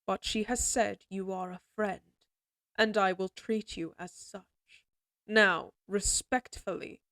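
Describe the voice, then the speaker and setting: A woman's voice: mid-range voice, no room sound, natural voice. A woman playing a stern, middle-aged noblewoman and mother in a fantasy audio drama, speaking in a formal, commanding way. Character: stern, imperious noble mother of a lady knight. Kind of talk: scripted fantasy audio roleplay acting.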